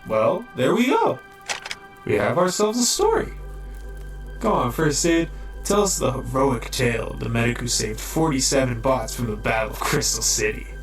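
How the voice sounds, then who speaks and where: A man's voice: mid-range voice, sing-song, moderate pace, roomy sound, faint music behind, close to an everyday voice. A man playing a brash, playful robot soldier in an audio drama, with a theatrical, teasing delivery. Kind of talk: fan audio drama acting.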